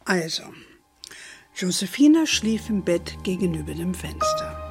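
Affectionate grandma for children's audio drama: slow, measured delivery, American-tinged German accent, over clear music. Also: female voice, lowish voice, very animated, heavily acted. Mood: tender, reminiscing. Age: older. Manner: measured, tender, sprinkles in English endearments. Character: affectionate grandmother telling her family history to her granddaughter. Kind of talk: gentle bedtime storytelling in a children's radio play.

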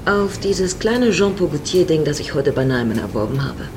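A woman's voice: low-pitched, expressive, moderate pace, noisy background. An actress playing a teasing, wistful middle-aged woman, murmuring intimately in a radio play. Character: teasing, wistful mature woman, flirting with younger man.

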